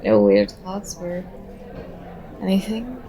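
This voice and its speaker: A woman's voice: lowish voice, very animated, slow pace, warm tone. A woman playing a very shy, nervous young stranger in an ASMR roleplay, talking hesitantly and self-consciously as she overthinks. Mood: thoughtful, rambling. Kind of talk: ASMR roleplay, soft conversational acting.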